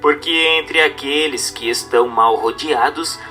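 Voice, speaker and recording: A man's voice: on the high side, unhurried, bright, forceful tone, faint music behind. A man reading a religious text as scripted narration, in a formal, instructive and carefully phrased manner.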